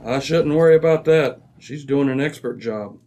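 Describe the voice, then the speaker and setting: A man playing a composed, observant British gentleman detective, crisp, dry and a little superior, in an old-time radio drama. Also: medium pitch, normal speed, slight background noise, lightly acted.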